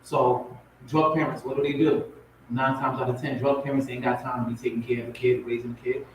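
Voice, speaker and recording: A man's voice: mid-range voice, flat delivery, a little fast. A man's voice comes through very echoey and set back from the mic. He speaks as a spoken word poet giving informal personal testimony, repeating phrases for emphasis, with some noise on the recording. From raised in Compton, California and Portmore, Jamaica. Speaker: spoken word poet, guest on a talk show. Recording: very echoey, distant, noisy audio.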